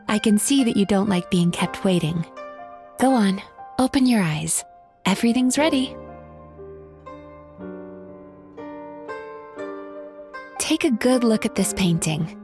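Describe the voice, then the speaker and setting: An actress playing a gentle, playful female psychologist in a video game, speaking in a soothing, coaxing, doting way. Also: medium pitch, lightly acted. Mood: doting, teasing.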